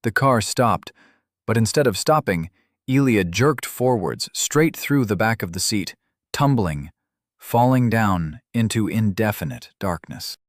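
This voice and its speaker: Male audiobook narrator, slow and steady, dry close mic, lowish voice. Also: dry recording. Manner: measured, unhurried storytelling. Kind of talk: scripted fantasy narration.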